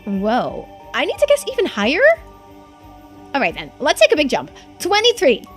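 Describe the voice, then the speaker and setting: Woman plays trusting, easily astonished teen boy for a visual novel; animated, casual, exclamatory delivery. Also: high voice, moderate pace, soft background music. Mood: curious guessing. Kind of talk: voiced visual novel playthrough.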